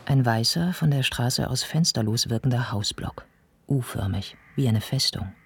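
A man giving a scripted radio play narration in a measured, deliberate, descriptive manner. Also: medium pitch, gravelly, husky.

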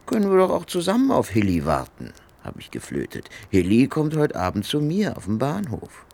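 A man's voice: mid-range voice, wildly swooping pitch, vocal fry, a little mellow, exaggerated voice. An actor playing a greedy, scheming homeless man in a German radio crime drama, crooning and muttering with sly glee. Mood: gleeful, boastful. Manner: slow, crooning, swinging into muttering and chuckles.

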